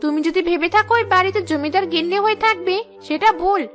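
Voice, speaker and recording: A cartoon mother-in-law played by a woman with a piercingly high voice. She is haughty and contemptuous, forever ordering people about. Light music plays behind her. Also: quick pace, fairly bright tone. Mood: scornful, bossy. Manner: bossy, contemptuous. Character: haughty, scolding mother-in-law of a landlord household. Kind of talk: cartoon dialogue dub.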